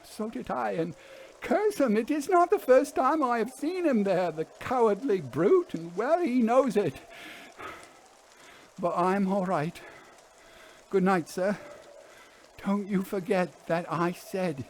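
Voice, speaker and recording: A man plays a haunted railway engine driver from a Victorian storybook. The voice is pushed well up in pitch. He is bitter, fatalistic and insistent, with rough working man's grammar. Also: animated, takes it slowly, pauses often, a little hiss or hum, full character voice. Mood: agitated, bitter.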